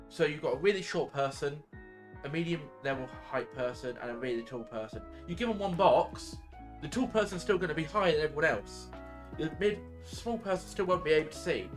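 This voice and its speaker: Man explaining haltingly over music. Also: a little high, expressive. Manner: halting, thinking aloud while explaining. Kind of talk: casual explanatory discussion. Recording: music playing behind the voice.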